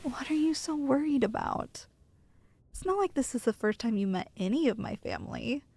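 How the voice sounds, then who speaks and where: A woman's voice: high voice, expressive, moderate pace, warm tone, a little hiss or hum. A woman playing an affectionate, teasing girlfriend who soothes a nervous partner in an intimate ASMR roleplay. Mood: tender reassuring. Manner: tender, playful, teasing, reassuring.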